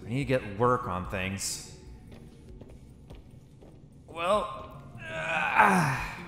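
A man's voice: medium pitch, fairly bright tone. A man roleplaying a character over gameplay, thinking aloud to himself as he goes. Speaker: roleplaying gamer. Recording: echoey reverberant room with faint music.

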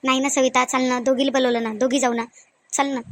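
A young daughter-in-law from a comedy cartoon acted by a woman speaks in a high voice with a Varhadi Marathi accent. She sounds wary and reluctant, quick to defend herself. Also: level tone, fairly bright tone, no echo. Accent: Varhadi Marathi (Vidarbha).

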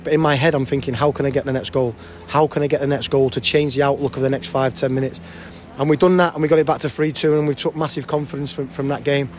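A man in his forties, a football manager, giving a post-match interview at a stadium with a handheld mic. He talks fast and often restarts and repeats words. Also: medium pitch, fairly even intonation, slight background noise. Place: outdoors by a cafe banner at a stadium.

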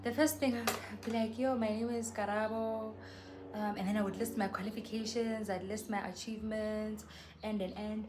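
A young woman from South Africa talks straight to the camera in a soft, mid-pitched voice. She is chatty and earnest and keeps calling her viewers "guys." She is recorded close on the camera mic on an outdoor patio, with a faint hum of ambience behind her. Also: fairly even intonation, normal speed. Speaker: YouTuber. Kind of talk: casual vlog chat to camera. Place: covered patio outside a house.

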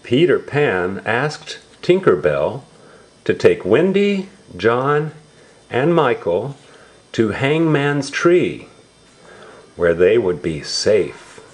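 A man reading a picture book aloud to young children, explaining it in simple, repeated, drawn-out words. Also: medium pitch, big pitch swings. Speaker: storybook reader for young relatives.

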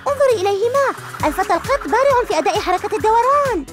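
A woman voicing a cheerful young superhero boy in a cartoon dub, lively and encouraging. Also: very high voice, very fast, music in the background. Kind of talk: cartoon dubbing.

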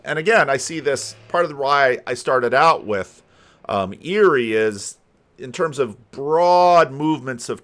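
An older man giving casual, rambling commentary as a host, halting and thinking aloud. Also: mid-range voice, a bit bright. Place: home room lined with books. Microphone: desk microphone, close, with headphones.

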